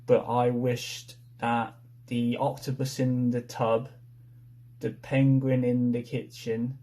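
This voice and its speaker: A man retelling a story from memory in a halting, unsure manner, piecing sentences together bit by bit. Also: medium pitch, level tone, dark tone. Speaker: participant in a story-retelling game.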